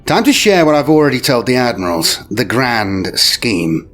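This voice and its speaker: A man acts out a commanding male admiral prince of a space navy, shrewd and battle-seasoned. The voice swings widely in pitch, with clipped declamatory phrases and weighty pauses, over music in a science fiction audio story. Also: big pitch swings, fairly bright tone. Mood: grave, assured. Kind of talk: dramatic audio story character. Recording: music behind the voice.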